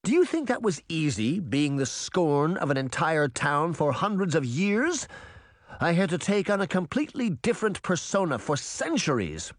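A man voicing a sharp-tongued, weary wicked queen in a storybook reading, scolding and emphatic. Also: a little high, big pitch swings, moderate pace, no room sound, studio quality, voice pushed up. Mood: bitter, defensive.

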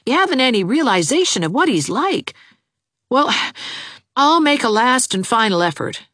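A woman's voice: on the high side, sing-song, a bit bright, no echo, clean studio sound, pitched above the performer's own voice. A woman voicing a lovesick, gloomy young man who talks in an earnest, fretful rush. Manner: earnest, fretful, fast-talking. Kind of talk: audiobook character voice.

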